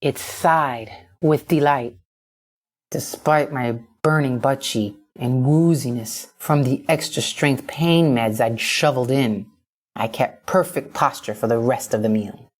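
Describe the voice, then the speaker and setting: A woman reading a first-person short story as an audiobook narrator, expressive and dramatic. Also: a bass voice, unhurried.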